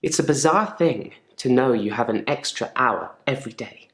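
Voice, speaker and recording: An actor playing a controlled, quietly menacing therapy patient in a game drama, speaking in a measured, deliberate and politely probing way. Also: male voice, mid-range voice, animated, roomy sound, lightly acted. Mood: composed, wry. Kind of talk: live-action game drama monologue.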